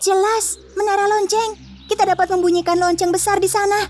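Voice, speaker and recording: A woman's voice: very high voice, fairly even intonation, fairly quick, darkish tone, pitched above the performer's own voice. A woman voicing Cinderella, an earnest, eager young woman in a children's cartoon. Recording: long reverb with music behind.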